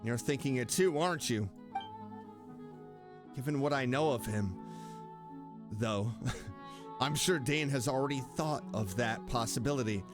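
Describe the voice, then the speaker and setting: A man doing casual live gameplay commentary, expressive, reacting aloud and talking to the characters. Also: medium pitch, measured pace, pauses often, music in the background. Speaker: game streamer.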